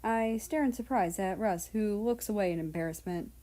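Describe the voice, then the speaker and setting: A woman's voice: medium pitch, moderate pace, warm tone. A woman who reads stories aloud and chats casually as the host of her channel. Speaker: audiobook-style reader and channel host. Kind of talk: scripted story narration and casual outro chat.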